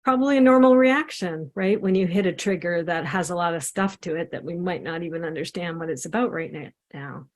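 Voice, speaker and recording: A woman facilitating a guided self-inquiry session over a video call, speaking in a gentle, encouraging way. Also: lowish voice, a little fast, hardly any pauses. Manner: gentle, encouraging, drawing while talking. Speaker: satsang facilitator and coach.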